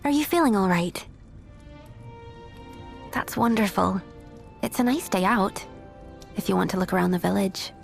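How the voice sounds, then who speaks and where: A woman playing a gentle, polite village oracle in a video game, soft-mannered. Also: medium pitch, expressive, moderate pace, creaky, long pauses, faint music behind. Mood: gentle, grateful. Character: gentle, grateful young female village oracle. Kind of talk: video game cutscene acting.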